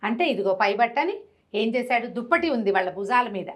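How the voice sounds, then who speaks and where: A woman with a somewhat high voice tells a devotional story. She narrates in a lively, expressive way and slips into the characters' voices as she goes. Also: a little fast. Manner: animated, acts out the characters' voices.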